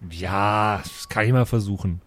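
A man's voice: on the low side, a little slow, fairly bright tone. A man playing a tabletop role-playing game, improvising and chatting in slangy, colloquial speech. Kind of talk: improvised role-play, casual chat.

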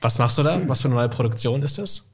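A man's voice: mid-range voice, quick pace. A man hosting an interview, asking questions conversationally. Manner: conversational, gives short backchannels. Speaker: interview host.

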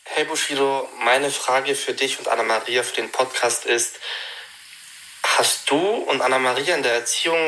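A man with a bright, tense voice at a middle pitch, reading out his question to the podcast hosts. His delivery is plain and direct. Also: moderate pace, low-quality recording. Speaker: listener sending a question. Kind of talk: read-out recorded question.

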